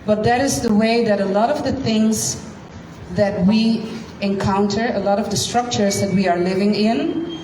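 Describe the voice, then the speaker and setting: A woman in her thirties, born in Curacao with Dutch as her first language, telling her story to a live audience at a slow, deliberate pace. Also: mid-range voice, a little mellow. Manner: slow, deliberate, with frequent pauses. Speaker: guest speaker. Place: event room. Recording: echoey room, distant mic, noisy.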